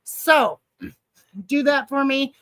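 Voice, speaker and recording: A woman's voice: high-pitched, slow pace, a bit bright, dry recording. A woman hosting a casual livestream chat, playful and chatty, laughing often. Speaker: host.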